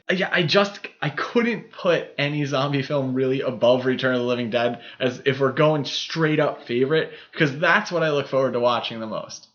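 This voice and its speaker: A man's voice: mid-range voice, a bit bright. A man co-hosting a casual film discussion podcast, talking in an enthusiastic, rambling way. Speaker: podcast co-host. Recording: dull, muffled lo-fi audio.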